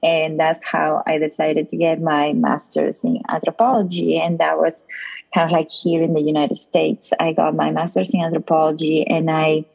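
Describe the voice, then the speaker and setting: A woman's voice: low-pitched, flat delivery, moderate pace, gravelly, darkish tone, poor audio. A woman from Peru with a Peruvian Spanish accent, explaining things conversationally and discursively as an interview guest, often checking in with the listener. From Lima, Peru. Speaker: professor, podcast guest.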